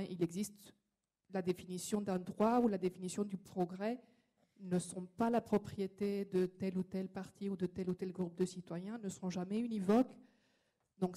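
A woman speaks in a very mellow, dark voice at a medium pitch. She is making an earnest point from the audience of an academic debate, insisting on it between hesitant starts. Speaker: audience member making a remark. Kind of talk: considered spoken remark at an academic debate. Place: lecture hall at a public panel.

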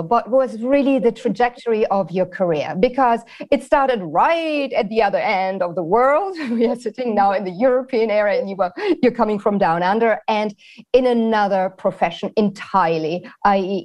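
A woman's voice: medium pitch, darkish tone. Bubbly woman hosting an interview. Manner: bubbly, laughs often. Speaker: host. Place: plain-walled indoor room.

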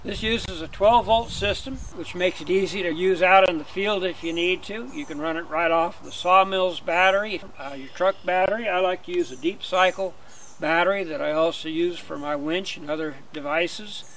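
An older man giving a relaxed, step-by-step outdoor demonstration as a sawmill instructor, talking in a plain, easygoing way. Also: sits high, moderate pace, a little hiss or hum. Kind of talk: hands-on demonstration. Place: outdoors on a grassy lawn.

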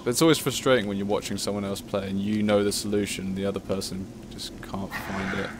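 A man's voice: fairly low pitch, flat delivery, fast, background noise. A man casually commentating his gameplay, chatty and self-mocking, swearing at himself when stuck. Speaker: let's play commentator. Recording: game music playing behind.

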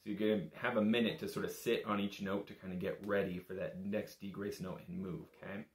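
A man's voice: low voice, fairly even intonation, a little fast. A young man giving a casual, patient, step-by-step music lesson in an informal, encouraging way. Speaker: bagpipe teacher. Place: small indoor room. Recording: echoey small room.

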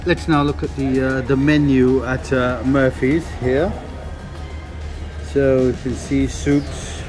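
A man hosting a casual travel vlog, talking in a relaxed way with frequent hesitations, interviewing a restaurant owner and showing the menu. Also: mid-range voice, slow, music in the background. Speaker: vlogger and interviewer.

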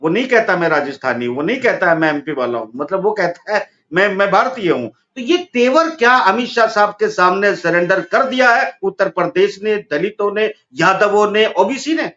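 A middle-aged man arguing politics on a video call from an office, forceful and rising to emphatic peaks. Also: high voice, very animated, normal speed, few pauses. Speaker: political commentator. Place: office room, indoors. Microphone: video call webcam. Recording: dull lo-fi call audio with faint noise.

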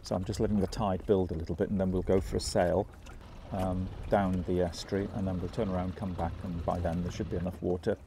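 Easygoing older man with a low voice, filming aboard a small boat. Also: level tone, fast talker, mellow. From England. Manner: easygoing, self-mocking, chatty with fillers. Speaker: sailing vlogger. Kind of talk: casual vlog narration. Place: small open sailing boat on an estuary, outdoors. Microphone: action camera mounted on the boat. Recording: clear wind and water noise.

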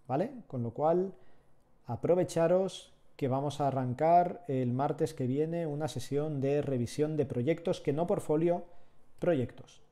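A man, chatting with viewers while teaching 3D sculpting live from a small home room. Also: on the high side. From Catalonia, Spain. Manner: relaxed, chatty, answers chat while working. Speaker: 3D sculpting instructor.

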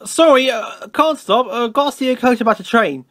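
A man's voice: sits high, big pitch swings, unhurried, fairly bright tone, no echo, clean studio sound, pitched above the performer's own voice, full character voice. A man voicing a fussy, boastful storybook steam engine who blusters impatiently and gets easily flustered.

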